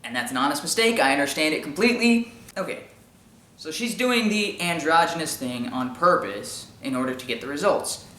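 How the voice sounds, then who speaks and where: A man with a high-pitched voice giving informal opinion commentary in an explanatory, argumentative way with emphatic repetition. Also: lively intonation, moderate pace, bright, forceful tone. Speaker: commentary show host. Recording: echoey room sound.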